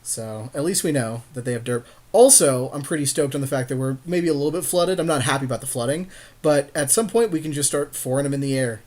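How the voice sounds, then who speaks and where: A man's voice: mid-range voice, expressive, fast, a little mellow. A man co-hosting a gaming podcast, chatting and commenting on card play in slangy, sweary casual talk. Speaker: podcast co-host playing a card game. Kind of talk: casual game commentary with friends.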